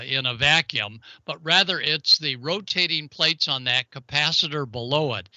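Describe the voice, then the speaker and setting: A man's voice: on the high side, sharp tone, no echo. A man presenting an informal technical explainer in a folksy way, with playful asides and exclamations. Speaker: amateur radio show segment presenter.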